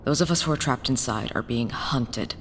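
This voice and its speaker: An actress playing an earnest, defiant smuggler in an audio drama, speaking in a blunt and serious way. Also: female voice, normal speed. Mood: urgent, serious. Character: earnest, defiant working-class woman smuggler on the run. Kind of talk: scripted audio drama acting. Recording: long reverb, faint rumbling background noise.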